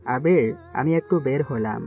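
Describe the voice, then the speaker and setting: A man playing a calm, calculating mafia king who gives measured orders in an audio story. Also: medium pitch, highs cut off, faint music behind, natural voice. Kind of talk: amateur Bengali audio-story acting.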